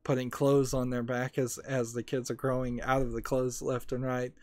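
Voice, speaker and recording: A man's voice: medium pitch, level tone, normal speed, a little mellow, dry recording. A young man streaming a card game, rambling through opinions and reading chat messages aloud. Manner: rambling, opinionated, many restarts and filler. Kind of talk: casual commentary while gaming, reading chat.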